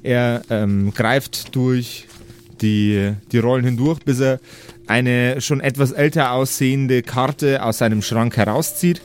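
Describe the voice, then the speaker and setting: A man improvising fantasy narration as game master, halting and repeating words for emphasis. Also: mid-range voice, steady tone, clean tone. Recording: music under the voice.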